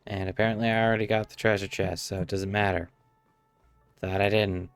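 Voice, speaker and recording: Man, low gravelly voice, dry close sound, casual gameplay commentary, muttering to himself, faint music behind. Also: little pitch movement, brisk, no room sound. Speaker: game streamer. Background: faint game music.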